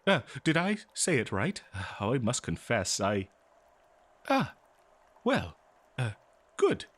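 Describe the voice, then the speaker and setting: A man playing an eager, kindly scholar in an audio roleplay, speaking politely and haltingly to a listener. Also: mid-range voice, wildly swooping pitch, breathy edge, heavily acted. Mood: humbled, gentle. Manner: halting, flustered, polite, exclaiming. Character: eager, scholarly, kindly male researcher of a lost species. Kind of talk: scripted audio roleplay, one-sided dialogue.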